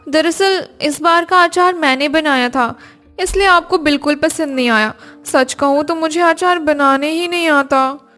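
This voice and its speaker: Woman voicing a high-pitched, polite cartoon housewife. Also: measured pace, sharp tone, faint music behind. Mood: apologetic. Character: earnest, polite young housewife in a family cartoon. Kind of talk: Hindi moral cartoon story acting.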